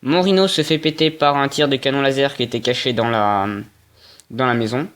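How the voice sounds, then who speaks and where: Sniffly young man with a smooth voice. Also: medium pitch, steady tone, brisk, a bit bright. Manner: hesitant, many "euh" fillers and restarts. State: has a cold, sniffling. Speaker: wargame player reporting a battle. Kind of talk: casual battle report narration.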